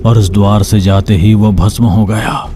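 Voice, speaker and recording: A man's voice: fairly low pitch, fairly even intonation, moderate pace, warm tone, slight background noise. A man reading scripted story narration for a cartoon tale.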